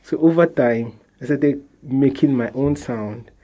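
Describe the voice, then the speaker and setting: Slow, mellow-voiced Nigerian young man. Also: mid-range voice. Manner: relaxed, repeats and restarts phrases, laughs softly. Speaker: interviewee, musician. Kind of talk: casual interview answers.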